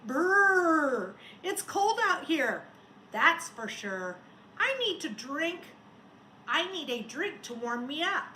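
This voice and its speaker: Woman playing a loud, high-pitched shivering snowman. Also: very animated, echoey room, slight background noise, exaggerated voice, low-quality recording. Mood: cold, complaining. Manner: exclamatory, drawn-out storybook read-aloud delivery. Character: shivering, sneezy snowman in a children's picture book.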